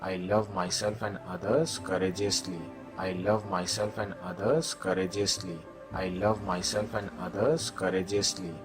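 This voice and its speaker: A man's voice: deep voice, little pitch movement, moderate pace, few pauses. A man leading a guided meditation, giving simple instructions and repeated affirmations as a meditation guide. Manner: instructing, repeating set phrases. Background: music.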